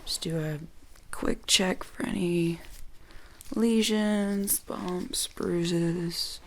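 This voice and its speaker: Soft-spoken woman doing ASMR, often whispering. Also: lowish voice, steady tone, extremely slow, slight background noise. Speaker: ASMR performer playing a doctor.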